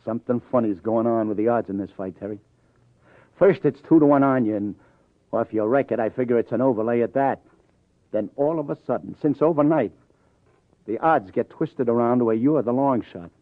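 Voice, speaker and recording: An actor playing a hard-boiled, persistent police detective in 1950s radio crime drama, with dry, understated, probing questions. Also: male voice, mid-range voice, brisk, no echo, close to an everyday voice. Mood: probing, sardonic. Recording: muffled vintage radio recording, narrow band.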